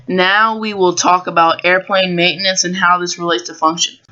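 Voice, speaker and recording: An American teenage high school student giving an explanatory school presentation. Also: level tone, normal speed, pushed and bright, highs cut off.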